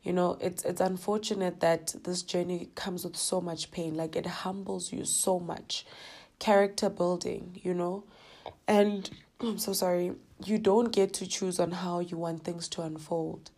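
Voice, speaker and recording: South african lady hosting a podcast, kinda hoarse, low flat voice, earnest and heartfelt. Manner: earnest and heartfelt, many "you know"s, drawn-out phrasing. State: slightly hoarse, coming down with a cold. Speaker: podcast host. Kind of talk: reflective personal podcast talk.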